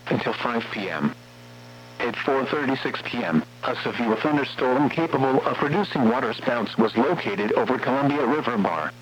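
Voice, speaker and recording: An American man reading formal weather warning bulletins as a radio announcer, in an impersonal, synthetic-sounding delivery. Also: medium pitch. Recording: muffled, noisy radio broadcast with hum.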